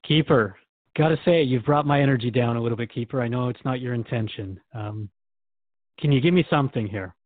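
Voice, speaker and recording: A man's voice: medium pitch, a little fast, no room sound, natural voice. A man playing an earnest radio host in a radio drama, asking curious questions and voicing mild worry. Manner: earnest, questioning, nervous laughter. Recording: dry tinny phone-like sound.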